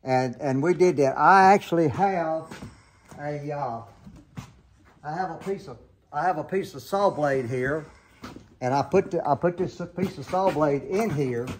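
A man's voice: a little high, a little hiss or hum. An older man hosting a sawmill how-to show, talking in a folksy, rambling way and often backing up to correct himself, recorded on a handheld camera in an open barn workshop. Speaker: sawmill sharpening show host. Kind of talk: casual how-to demonstration.

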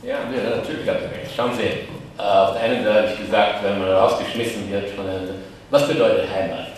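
A man, musing slowly and wryly as a guest author in a café discussion, often correcting himself. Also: fairly low pitch, fairly even intonation, slight background noise. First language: German. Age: 80s. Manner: slow, musing, self-correcting, wry. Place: crowded café, indoors. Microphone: distant camera mic. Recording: echoey room from far off.

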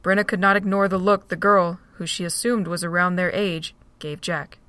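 A woman with a fairly low voice reads the narration of a fan audio drama. The sound is dry and close, with almost no room around it. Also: fairly even intonation, moderate pace, bright, forceful tone, no room sound. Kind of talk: scripted narration.

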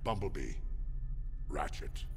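A man's voice: rumbling low, sing-song, slow pace, fairly bright tone, faint music behind, heavily acted. A man playing a noble, solemn robot leader in a video game, grave and stately in manner. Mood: solemn pride.

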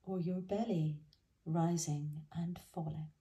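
A woman guides a meditation in a mellow, relaxed voice that sits slightly low. She speaks very slowly and gently, soothing the listener, and lets the ends of her phrases fall softly. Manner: gentle, soothing, unhurried with soft phrase endings. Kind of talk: guided body scan meditation.

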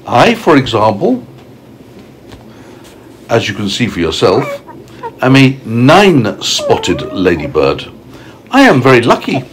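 A man's voice: medium pitch, huge pitch swings, slow pace, long pauses, crowd noise, voice pushed up. A man voicing a proud, prim female ladybird from a storybook, genteel and fussy. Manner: genteel, self-satisfied, fussy.

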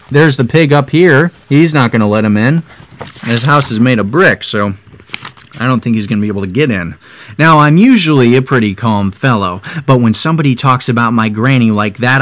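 Young male storyteller, mid pitch with lively swings, dramatized read-aloud with chatty asides. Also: dry recording. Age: young adult. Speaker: storyteller reading a picture book. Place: room at home, indoors.

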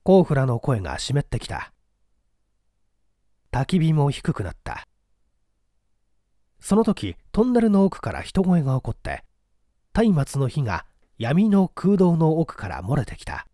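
A man reads literary fiction as a dramatic narrator. His voice is mellow and middle-pitched, moving widely in intonation. It is recorded very dry. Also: huge pitch swings, measured pace, pauses often, no echo. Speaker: audiobook narrator, stage actor.

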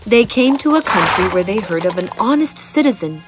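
A woman's voice: mid-range voice, lively intonation, fairly quick. A woman reading a scripted fairy tale as a storyteller. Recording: music bed behind the narration.

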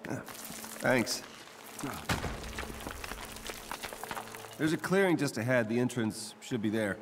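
Man acting as tired american scientist guy in a game, dry and halting, pitch moves around, noisy ambience. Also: expressive, close to an everyday voice. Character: middle-aged male scientist, weary, dry and hesitant. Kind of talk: naturalistic video game acting.

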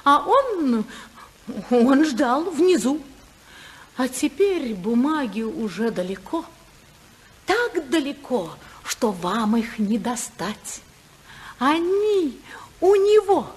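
A proud, determined woman in anguish in a 1950s radio drama. Her voice is rather high and husky, and she speaks with theatrical emphasis and dramatic pauses. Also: big pitch swings, heavily acted. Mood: defiant, agitated. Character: Margaret, anguished, proud, determined senator's wife. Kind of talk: 1950s Russian radio play acting.

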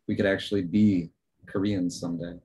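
Relaxed podcast co-host, a man in his thirties, lowish voice, loose chatty delivery. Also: brisk. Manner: loose, filler-heavy talk. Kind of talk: casual chat.

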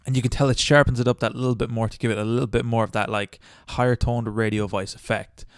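A man narrating a casual step-by-step software tutorial in a chatty, informal way on a good close microphone. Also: mid-range voice, steady tone, quick pace, clear, resonant tone, no room sound. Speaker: tutorial host. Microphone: good close microphone, voice-over.

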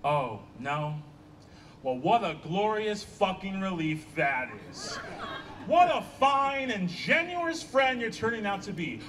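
High-pitched, exasperated man acting on stage. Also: full character voice. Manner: emphatic, sarcastic, sharp-tongued. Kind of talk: staged play reading. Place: small outdoor stage by a block wall. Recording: distant outdoor stage pickup, faint ambient noise.

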